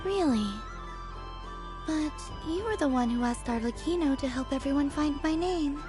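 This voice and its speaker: A woman playing a serene, dreamy young maiden in a video game, soft and gentle, matter-of-fact even when teasing. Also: high-pitched, normal speed, lightly acted. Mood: deadpan, serene. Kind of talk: dramatic video game voice acting. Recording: music behind the voice. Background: music.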